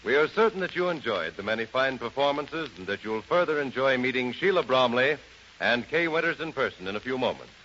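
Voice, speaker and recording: A man's voice: mid-range voice, animated, brisk, sharp tone. An American man hosting a 1930s radio show in a formal, courtly announcing style. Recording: dull, noisy 1930s radio recording.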